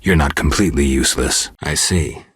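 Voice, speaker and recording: Game lead: stoic, world-weary monster hunter, extremely low and rasping, blunt yet protective, terse delivery. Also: male voice, brisk, dry recording, full character voice. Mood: guarded, businesslike. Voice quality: gravelly, husky low voice. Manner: terse, restrained, dry delivery. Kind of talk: video game character dialogue.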